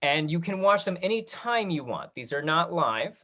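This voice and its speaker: A host of a casual online talkback, speaking in a halting, conversational way like a drama teacher. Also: medium pitch, sing-song, fairly bright tone.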